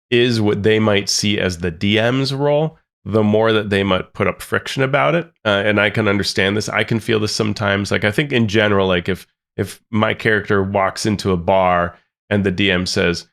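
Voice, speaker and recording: A man's voice: on the low side, fairly bright tone. A man co-hosting a podcast, chatting casually and thoughtfully, often repeating words as he thinks. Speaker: podcast co-host. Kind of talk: casual chat about tabletop games.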